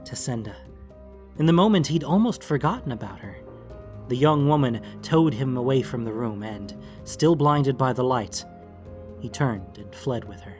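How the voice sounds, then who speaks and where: A man's voice: mid-range voice, lively intonation, normal speed, a little mellow. Male fantasy narrator over faint music. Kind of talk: dramatic fantasy audiobook narration.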